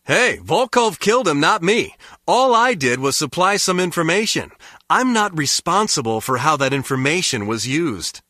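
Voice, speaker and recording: A bright, forceful male voice with lively swings in pitch plays a cynical American spy gone crooked. He is greedy, sardonic and unrepentant, talking in slangy game dialogue on a close, dry recording. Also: normal speed, no room sound. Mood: taunting. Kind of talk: video game voice acting.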